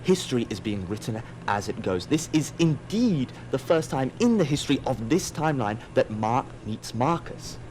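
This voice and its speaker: A man's voice: mid-range voice, sing-song, moderate pace, few pauses, a little mellow, slight background noise, natural voice. A man in an audio drama playing an aloof, wry, digressive otherworldly narrator who muses on mortals. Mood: wry, intrigued. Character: aloof, musing otherworldly narrator observing mortals, easily distracted.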